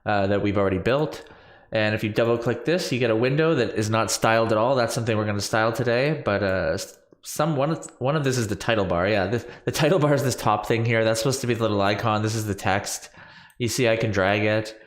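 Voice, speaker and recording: A man's voice: fairly low pitch, quick pace, reverberant. Stuffy-nosed man streaming code talk. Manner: rambling, filler-heavy, self-correcting. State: stuffy from allergies. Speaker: coding livestream host. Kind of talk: casual screen-share coding walkthrough. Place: small home room with purple lights. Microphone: large studio condenser mic on boom arm.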